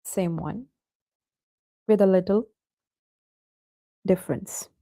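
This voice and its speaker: Patient female teacher for an online class, gravelly voice, dry close sound. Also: medium pitch, slow, dark tone, no room sound. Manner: patient, addresses students by name.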